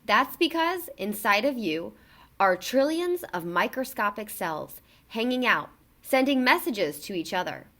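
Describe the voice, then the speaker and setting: A woman reading a children's picture book aloud in an expressive, emphatic storytelling delivery. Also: a little high, measured pace.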